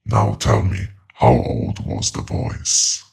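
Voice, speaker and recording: Ancient otherworldly male entity, horror audio drama. Extremely low, gravelly, solemn and relentless. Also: a little mellow, exaggerated voice. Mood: relentless, accusing. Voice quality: very deep, gravelly creaking voice. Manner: slow, deliberate, ominous pronouncements.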